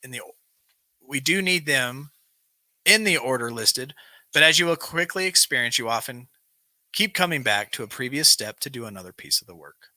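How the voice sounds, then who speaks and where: Guy hosting a recovery show, really sharp bright voice, dry sound, reads stuff out then chats loosely. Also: medium pitch, lively intonation, moderate pace, dry recording, poor audio. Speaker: host of a live recovery show. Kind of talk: reading aloud from a self-help text with casual asides.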